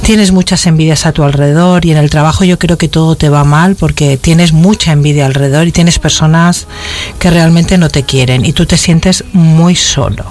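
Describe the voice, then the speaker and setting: A woman's voice: deep voice, steady tone, moderate pace, a little mellow. A woman working as a psychic medium, giving a caller a spiritual reading on a call-in radio show, in a soothing, reassuring manner that keeps checking in with the caller. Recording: radio broadcast with faint music.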